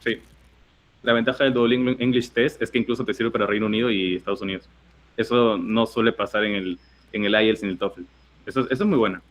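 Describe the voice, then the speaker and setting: A man's voice: fairly low pitch, fairly even intonation, quick pace, slight background noise. A teenage man from Peru with a Peruvian accent, giving a webinar talk in an explanatory way with many fillers and restarts. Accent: Peruvian Spanish. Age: teens. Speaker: guest speaker.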